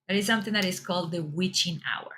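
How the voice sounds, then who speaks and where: A woman's voice: fairly low pitch, fast talker, a bit bright. A middle-aged woman with a Colombian accent giving parents reassuring advice and repeating her words often. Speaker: mom fitness coach. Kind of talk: casual advice talk.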